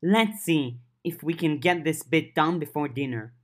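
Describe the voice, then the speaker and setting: A narrator voicing a brisk, wary teenage girl leader with a blunt, firm manner. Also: mid-range voice, natural voice.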